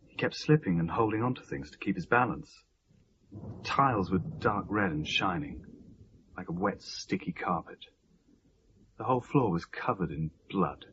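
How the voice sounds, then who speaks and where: An actor playing a shaken young husband who recounts events haltingly, as a monologue in a radio play. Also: male voice, low-pitched, animated, fairly bright tone, highs cut off, slight background noise. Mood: horrified. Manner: halting, haunted storytelling.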